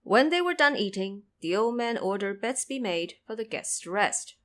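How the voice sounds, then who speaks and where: A woman's voice: mid-range voice, animated, moderate pace. A woman reading scripted narration for an audio drama adventure story.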